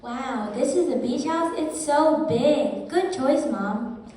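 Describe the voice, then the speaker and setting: An eager young daughter in an amateur puppet play, acted by a woman. The voice is high and mellow, with simple scripted lines. It is picked up from a distance in a very reverberant room with faint noise. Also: lively intonation, takes it slowly. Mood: eager, curious. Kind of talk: amateur puppet show dialogue. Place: indoor puppet show performance, distant mic. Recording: echoey hall, far mic, faint noise.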